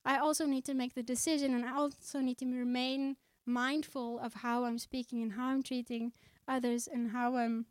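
A woman from South Africa preaches with earnest conviction. Her voice sits fairly high, and she slows down to read scripture. The sound is close and dry, with little room echo. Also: level tone, clear, resonant tone. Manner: conversational, with fillers and restarts. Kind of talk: sermon.

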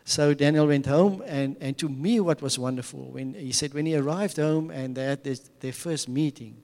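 A man's voice: on the high side, moderate pace, gravelly, a little mellow. A man with an Afrikaans accent giving an earnest mission talk to a church, restarting phrases and chuckling now and then. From South Africa. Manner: earnest, repeats words and restarts, chuckles often. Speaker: mission speaker. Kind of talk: church mission presentation with slides. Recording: long hall reverb.

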